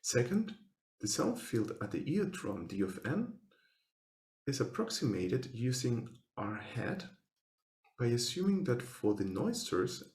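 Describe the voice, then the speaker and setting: A man giving a scripted technical presentation as a researcher, speaking formally and in measured, step-by-step phrases. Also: mid-range voice, a little mellow, roomy sound.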